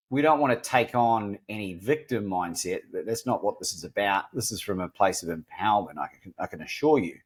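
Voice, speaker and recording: A middle-aged man with a mixed New Zealand and Australian accent, telling his life story as a podcast guest in an earnest, self-deprecating way with frequent restarts. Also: fairly low pitch. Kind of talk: candid storytelling interview.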